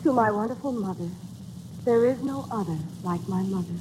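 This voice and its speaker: A woman's voice: medium pitch, fairly quick, noisy background, lightly acted. An actress playing a loving young mother and former stage performer in 1940s radio drama. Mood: tender. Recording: old radio broadcast recording.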